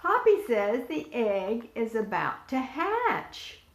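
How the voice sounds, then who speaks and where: Lady volunteer reading a kids story super slowly, voice a bit high, echoey room. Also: very animated, background noise. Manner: asks young listeners questions and points to pictures. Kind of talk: picture-book read-aloud for preschoolers.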